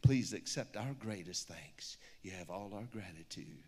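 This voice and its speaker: A man's voice: sits low, animated, measured pace, mellow, breathy edge, voice pushed down, heavily acted. A man voicing adoring storybook ants giving solemn praise in rhyme, in an echoey hall. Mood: reverent. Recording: echoey hall with long reverb.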